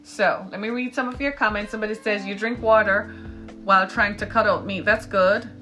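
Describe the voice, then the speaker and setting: A woman from the British Caribbean, whose accent comes through clearly, delivers her commentary in the emphatic, practiced cadence of a public speaker. Her voice sits at a middle pitch. Guitar music plays clearly underneath her throughout. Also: steady tone, a little fast, pushed and bright. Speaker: podcast host. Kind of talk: live-stream commentary reading viewer comments.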